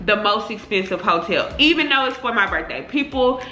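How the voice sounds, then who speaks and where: A woman's voice: medium pitch, animated, normal speed, pushed and bright, echoey room, soft background music. A young woman chatting casually to her viewers in informal slang, sounding congested from a cold. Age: young adult. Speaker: beauty vlogger. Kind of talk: casual chatty get-ready-with-me talk.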